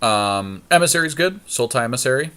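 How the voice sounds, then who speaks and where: A man's voice: medium pitch, animated, brisk, fairly bright tone, clear, resonant tone. A man co-hosting a podcast, giving casual, informal commentary on a card game draft as he thinks aloud.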